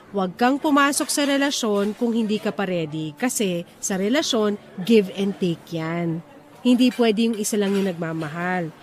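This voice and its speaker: Radio romance role: actress as independent young woman, higher-pitched, reflective and assertive Taglish delivery, music underneath. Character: independent, frank young single woman weighing old romance. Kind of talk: radio romance drama dialogue.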